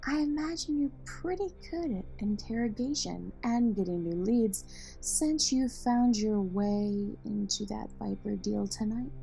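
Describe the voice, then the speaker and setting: An actress playing a street-smart young woman vigilante in an audio drama, assertive and teasing in a measured way, with wry confidence. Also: medium pitch, vocal fry, mellow, faint music behind, rough recording. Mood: persuasive.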